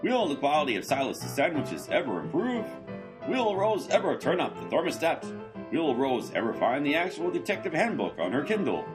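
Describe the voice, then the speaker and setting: A man acting as a mock-dramatic announcer for an old-time style radio serial. He projects loudly with wide, theatrical swings in pitch, over faint music. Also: very animated, normal speed. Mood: mock suspense. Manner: loud, rhetorical, melodramatic.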